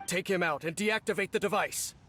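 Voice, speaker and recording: A man voicing a stoic, solemn captain and cursed swordsman in a video game, speaking in a grave, formal, measured way. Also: high voice, bright, forceful tone, a little hiss or hum. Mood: defiant. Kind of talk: dramatic video game voice acting. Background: background music.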